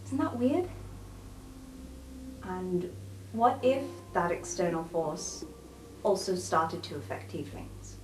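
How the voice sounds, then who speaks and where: A woman's voice: on the low side, expressive, takes it slowly, pauses often, a little hiss or hum. A woman voicing an earnest, inquisitive young tiefling student who asks probing questions in an informal, hesitant way. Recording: roomy sound with music behind. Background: music.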